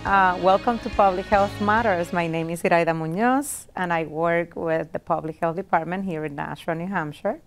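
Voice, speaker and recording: A woman's voice: on the low side, moderate pace, hardly any pauses, faint music behind. A woman from Puerto Rico hosting a conversational public health interview. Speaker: substitute host, public health worker.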